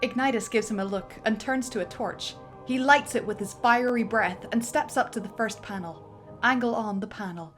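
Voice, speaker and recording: A woman's voice: on the high side, moderate pace, fairly bright tone. A woman narrating script directions in a dramatic reading, building up to the action beats, with music underneath.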